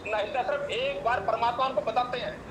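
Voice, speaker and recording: A man's voice: very high-pitched, steady tone, brisk, background noise. A man challenging his opponent insistently in a heated religious debate.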